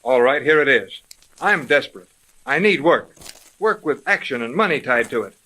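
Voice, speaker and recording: Brash young man with a bright, punchy voice. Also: medium pitch, animated, normal speed, poor audio. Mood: earnest, pleading. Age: young adult. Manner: brash, wisecracking. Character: cocky, eager young man hungry for dangerous work. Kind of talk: 1930s radio acting.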